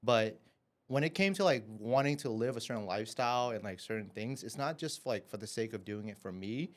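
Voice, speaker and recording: A man's voice: on the low side, lively intonation, fairly quick. A man from Vietnam, a café owner, telling his life story as a podcast guest in a casual, filler-heavy way, often ending with "right?". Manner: chatty, many fillers and "right?".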